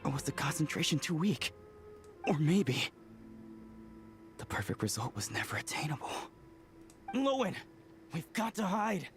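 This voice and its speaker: An actor playing an anxious, hesitant young experimenter in a video game, speaking in a worried, questioning way. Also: male voice, a little high, very animated, measured pace, pauses often, faint music behind. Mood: anxious, doubtful. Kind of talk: dramatic video game voice acting.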